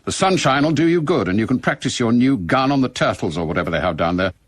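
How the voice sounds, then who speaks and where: Stern, husky British spy chief. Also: male voice, medium pitch, lively intonation, no room sound. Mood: commanding. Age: middle-aged. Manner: brisk, authoritative, clipped. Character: stern, clipped middle-aged male intelligence service chief. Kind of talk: radio drama acting.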